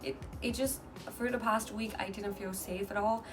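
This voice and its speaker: A woman's voice: mid-range voice, fairly even intonation, over music. Distant woman, earnest vlogger. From mainland China. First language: Mandarin. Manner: earnest, halting, with frequent restarts. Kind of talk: casual personal vlog. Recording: distant mic with clear background noise.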